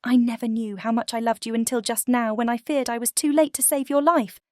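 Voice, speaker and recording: A woman's voice: fairly high pitch, fairly even intonation, fairly quick, a little mellow, no room sound, studio quality, lightly acted. A woman voicing a tender, earnest young heroine in a fairy tale audiobook. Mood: distressed, loving.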